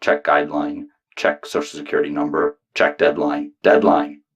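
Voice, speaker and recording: A man reading poetry aloud in a flat, official manner, in clipped lists and commands. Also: rumbling low, moderate pace, husky. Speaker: poetry reader. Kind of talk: deliberate poetry recitation.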